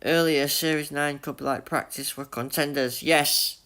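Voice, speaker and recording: A man's voice: a little high, steady tone, normal speed, sharp tone. Chatty Yorkshire man live-streaming a game. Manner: chatty, jokey, greets chat by name, laughs often. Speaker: gaming livestreamer. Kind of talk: casual live-stream chat with viewers while playing.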